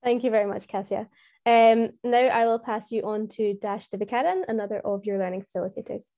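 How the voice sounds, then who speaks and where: A woman hosting the event, briskly introducing the next speakers. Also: medium pitch, dark tone. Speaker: event host.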